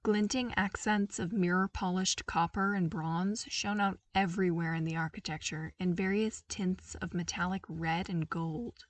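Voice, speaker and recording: A woman's voice: lowish voice, steady tone, normal speed, few pauses, no room sound, distant mic. A woman reading a scripted story as an audiobook narrator. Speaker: podfic narrator.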